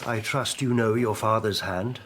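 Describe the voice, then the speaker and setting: A man playing a scheming, insistent Elizabethan gentleman petitioner in a radio drama, formal, rhetorical and insinuating. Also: on the low side, steady tone, moderate pace, slight background noise, natural voice. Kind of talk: period radio drama acting.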